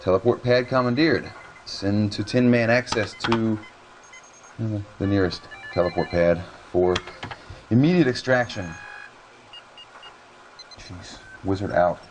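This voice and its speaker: An actor playing a cocky, grumbling resistance comms hacker in a video game, talking in a muttering, sardonic, informal way. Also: male voice, on the low side, measured pace, long pauses, close to an everyday voice. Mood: grumbling, busy. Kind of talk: video game cutscene acting. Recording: clear background noise, keyboard clicks.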